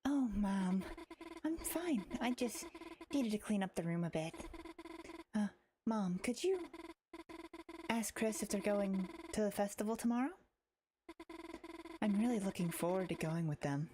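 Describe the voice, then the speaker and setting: A woman's voice: mid-range voice, expressive, a little slow, pauses often, mellow, slight background noise, lightly acted. A woman voicing a timid, earnest and unsettlingly devoted teenage monster girl in a visual novel. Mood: meek, pleading. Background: game music.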